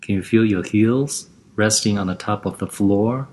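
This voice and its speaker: A man guiding a lying-down relaxation and breathing exercise, giving gentle step-by-step instructions in a calm, even way. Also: fairly low pitch, moderate pace.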